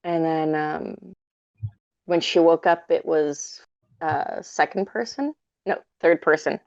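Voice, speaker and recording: A woman's voice: sits low, steady tone, takes it slowly, no room sound, lo-fi sound. A young woman from Canada in casual podcast chat, hesitant and correcting herself often. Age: young adult. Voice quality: gravelly, vocal fry. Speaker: podcast guest writer.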